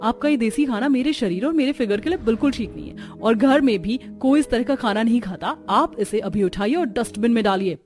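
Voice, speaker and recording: Woman acting a haughty high-voiced modern sister-in-law. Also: fairly quick, hardly any pauses, a little mellow, soft background music, lightly acted. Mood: indignant. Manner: scornful, mixes English into Hindi.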